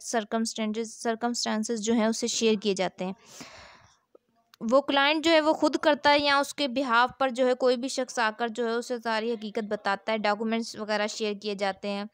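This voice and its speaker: A woman's voice: fairly high pitch, smooth, no room sound, clean studio sound. A woman with a Pakistani accent lecturing on law, reading formal text aloud and explaining it in a didactic, repetitive way. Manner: didactic, repeats points and asks rhetorical check questions.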